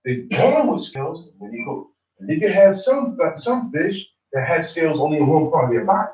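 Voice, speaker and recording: Guy explaining bible verses, kinda high voice, echoey far-off room sound. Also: big pitch swings, a little fast. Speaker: religious teacher. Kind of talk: scripture teaching. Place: small study room with bookshelves, indoors. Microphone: far room camera. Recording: echoey, distant, dull room recording.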